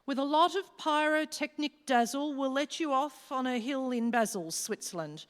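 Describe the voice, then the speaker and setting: A woman playing a commanding devil who presides over fiends in a rhyming radio play, speaking deliberately and theatrically. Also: sits high, a bit bright. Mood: grand, instructing. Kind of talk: staged radio play acting. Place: live stage in a hall. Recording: echoey hall with long reverb.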